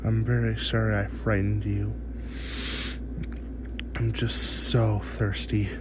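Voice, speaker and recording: A man playing a seductive, hungry vampire master in a soft-spoken ASMR roleplay, with drawn-out, sighing, murmured speech. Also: on the low side, level tone. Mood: apologetic, thirsty. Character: hungry, seductive male vampire, doting yet domineering master. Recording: dull, muffled audio with clear background noise.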